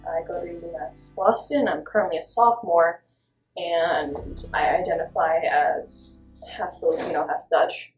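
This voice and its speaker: A woman's voice: lowish voice, steady tone, measured pace, soft background music. A young woman, a college sophomore, giving a brief, slightly hesitant introduction. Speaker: student interviewee. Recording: roomy sound, distant from mic.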